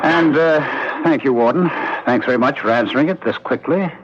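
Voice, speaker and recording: An actor playing a cultured, talkative condemned murderer, once a professor, with polished, theatrical speech in a 1970s radio drama. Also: male voice, mid-range voice, brisk, very noisy, natural voice. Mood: urbane, eager. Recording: dull lo-fi old radio broadcast.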